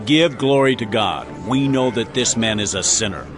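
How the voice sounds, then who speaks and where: A man acting a forceful Pharisee challenger in a dramatized audio Bible, with declamatory delivery. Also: medium pitch, expressive, moderate pace, music in the background, close to an everyday voice. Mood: challenging.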